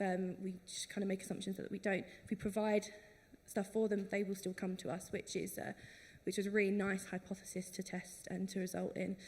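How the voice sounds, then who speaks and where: A woman talks to a conference audience in an easy, enthusiastic way. Her voice sits at an ordinary pitch and carries a long reverb from the hall. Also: darkish tone. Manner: enthusiastic, conversational. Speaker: panel speaker. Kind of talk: conference talk.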